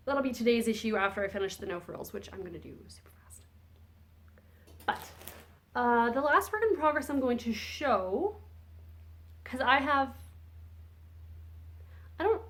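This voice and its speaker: A woman's voice: fairly high pitch, fairly bright tone. A woman hosting a podcast in casual chat, rambling and correcting herself as she goes, her voice phlegmy from a lingering cold. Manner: rambling, chatty, self-correcting. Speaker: podcast host. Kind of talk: casual chat about knitting projects.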